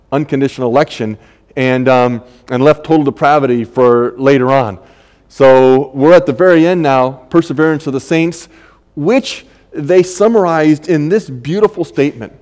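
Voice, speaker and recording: Halting older preacher in a reverberant church. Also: male voice, fairly high pitch, darkish tone. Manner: conversational, halting, many fillers and restarts. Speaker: pastor. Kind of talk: sermon.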